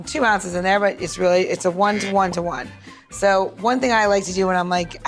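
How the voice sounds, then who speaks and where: A woman with a mid-range voice hosts a casual show and explains things step by step like a bartender. She is chatty and informal, peppering her talk with little check-ins. Music plays behind her. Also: mid-range voice. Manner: chatty, informal, frequent "like" and "right?". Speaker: podcast host and bartender. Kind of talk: casual chat, talking through a cocktail demonstration.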